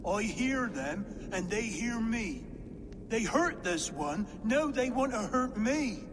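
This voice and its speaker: An actress playing a simple, gentle wild hermit who befriends animals in a video game, with rustic, halting speech. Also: female voice, fairly high pitch, lively intonation. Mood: wary, wounded. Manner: rustic, plain-spoken, halting. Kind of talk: video game cutscene acting. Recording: echoing space, clear background noise.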